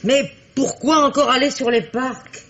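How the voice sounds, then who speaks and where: An actress playing a fussy, chattering housekeeper who worries, in a 1950s radio drama. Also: female voice, high voice, unhurried, a bit bright. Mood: fussing. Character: fussing, worried female housekeeper. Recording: muffled old radio recording with faint hiss.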